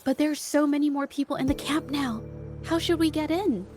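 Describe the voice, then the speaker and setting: A woman voicing an earnest, thoughtful young woman in a video game cutscene, soft-spoken and hesitant. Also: high voice, a little fast, over music, lightly acted. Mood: thoughtful, puzzled.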